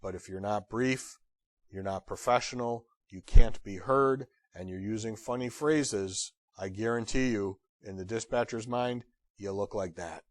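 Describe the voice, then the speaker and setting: A man giving an instructional lecture as a trainer, blunt and direct with wry humor and emphatic pauses on key phrases. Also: on the low side, no echo. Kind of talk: instructional lecture on radio procedure.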